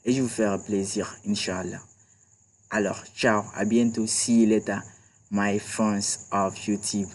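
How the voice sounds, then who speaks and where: A man's voice: fairly low pitch, flat delivery, measured pace, poor audio. A man teaches a screen-recorded computer tutorial, chatty and repeating small checks with his viewers. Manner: chatty, repeats "d'accord" and "voilà". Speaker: IT tutorial instructor.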